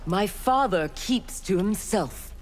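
A woman's voice: medium pitch, lively intonation, takes it slowly. A woman voicing a proud, ruthless young female ninja warrior in a video game, speaking in a cold, haughty, clipped way.

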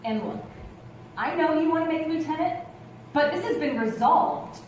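Casting: woman playing veteran female cop, middle-aged, high swooping voice, defensive and patronizing, heard across an echoey theatre. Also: expressive, moderate pace, darkish tone. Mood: condescending. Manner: patronizing, calls people darling and hun. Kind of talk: live stage play acting. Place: theatre stage with rows of seats. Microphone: distant camera. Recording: echoey theatre stage from far off, noisy.

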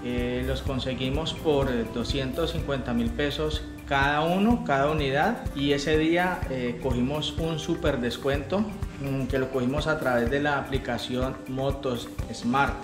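A man's voice: mid-range voice, measured pace, hardly any pauses. A man in his forties with a Colombian accent, giving a casual product review to camera as a motorcycle vlogger. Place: living room at home, indoors. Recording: roomy echo, music underneath.

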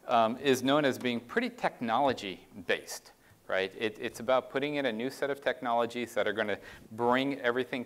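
A man's voice: medium pitch, fairly bright tone. Middle-aged man giving a conference keynote. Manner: conversational, explanatory, frequent "right?" and "um". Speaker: keynote speaker. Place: conference stage in a large dark room.

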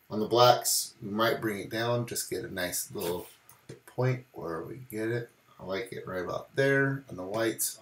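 A man's voice: fairly low pitch, roomy sound. A man still getting his voice back after bronchitis, casually walking through a photo edit in a screen-recorded tutorial. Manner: casual, relaxed walkthrough with many "we're gonna". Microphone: voice-over on screen recording.

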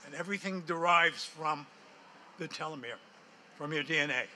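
A man's voice: on the high side, normal speed, bright, forceful tone, a little hiss or hum. Older man explaining at a lectern mic. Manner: technical, matter-of-fact explaining. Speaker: entrepreneur pitching to a panel. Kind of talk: answering panel questions, explanatory. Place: large hall with stage, indoors.

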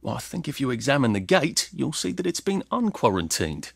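Creaky, wry young Englishman. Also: mid-range voice, sing-song, fairly quick. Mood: wry, muttering. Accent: British. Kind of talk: video game character acting.

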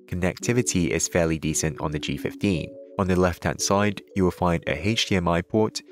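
A man with a notably deep voice talks through a gadget review in a measured, even manner. It's a scripted voice-over, recorded cleanly on a studio microphone. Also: soft background music. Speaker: tech reviewer.

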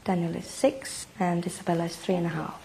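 A woman in her thirties from Iceland, with Icelandic as her first language, tells her story in an interview. Her voice is soft and mellow, and she keeps to a narrow, even range of pitch. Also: a little fast, vocal fry, slight background noise. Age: 30s. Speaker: mother telling her family's story. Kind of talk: personal interview account. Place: home kitchen, indoors.

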